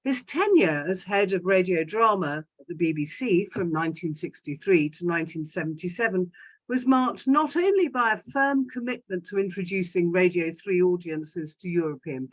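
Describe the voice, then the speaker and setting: Woman in her sixties, measured speaker. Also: on the low side, lively intonation, poor audio. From London, England. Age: 60s. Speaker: guest speaker giving a talk about a parent. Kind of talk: prepared personal talk read aloud. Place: indoors in a home study, on a video call.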